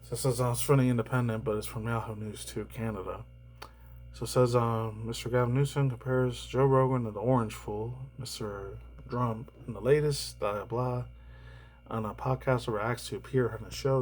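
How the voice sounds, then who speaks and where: A man rambling through casual news commentary, swearing often and laughing between remarks, his voice slightly raspy and tired. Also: medium pitch, steady tone, normal speed, a little mellow, slight background noise. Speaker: commentary YouTuber.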